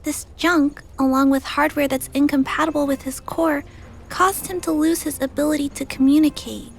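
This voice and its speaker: A woman playing a gentle, earnest little girl in a video game, speaking politely and carefully. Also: high-pitched, steady tone, normal speed. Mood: earnest, thoughtful. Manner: polite, soft-hearted, careful.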